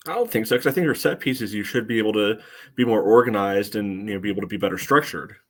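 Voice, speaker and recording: A man's voice: lowish voice, quick pace. An American man co-hosting a soccer podcast, analyzing play casually and thinking aloud with a lot of "you know".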